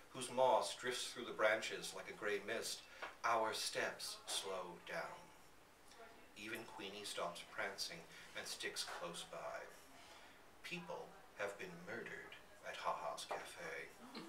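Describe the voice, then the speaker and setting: Male narrator for live story reading: bright, highly animated, theatrical phrasing, picked up from a distance in a reverberant room. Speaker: reader at a live literary reading. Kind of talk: expressive scripted story narration. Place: bookstore public reading. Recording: echoey room, distant mic, faint background noise.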